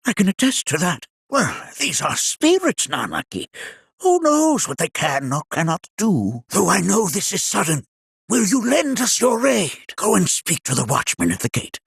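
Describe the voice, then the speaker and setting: A wise old game sage, creaky and husky, kindly, talks all formal and ponderous. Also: male voice, on the high side, fairly bright tone, no echo, clean studio sound, heavily acted. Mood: concerned, curious. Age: older. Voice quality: raspy, aged. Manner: ponderous, formal, archaic storyteller phrasing. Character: wise, kindly old male scholar and planetary researcher. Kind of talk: video game character voice acting.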